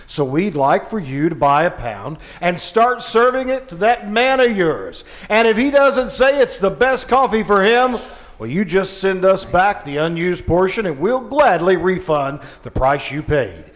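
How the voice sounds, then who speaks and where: A man's voice: high-pitched, sing-song, measured pace. A man playing a cheerful 1950s radio announcer, folksy in his sales patter and mock-dramatic in his storytelling. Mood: cheerful, persuasive. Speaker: announcer and narrator. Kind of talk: 1950s old-time radio announcing and sponsor pitch. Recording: music behind, live stage radio play.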